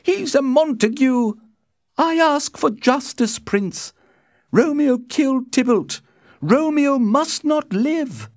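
A man's voice: very high-pitched, sing-song, very slow, no room sound, studio quality, voice pushed up, full character voice. A man voicing a grieving, vengeful noblewoman in a storybook, wailing and insistent as the character demands justice. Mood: outraged, demanding.

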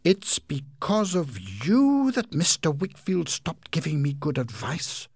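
A man voicing a fierce, indignant older woman in a storybook reading, speaking in a high, shrill, forceful way. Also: huge pitch swings, moderate pace, vocal fry, breathy edge, no room sound, exaggerated voice. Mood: furious.

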